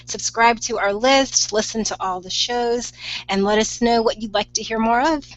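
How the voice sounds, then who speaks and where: A woman moderating a group video chat, wrapping up in a brisk, upbeat way. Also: fairly high pitch, dry recording. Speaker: show moderator. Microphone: video call. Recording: dull lo-fi distant call audio.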